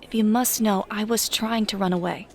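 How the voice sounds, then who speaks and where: An actress playing a spirited, defensive teenage high-school girl in an audio drama, with an exasperated, sarcastic edge. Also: fairly even intonation, moderate pace, long reverb tail, lightly acted.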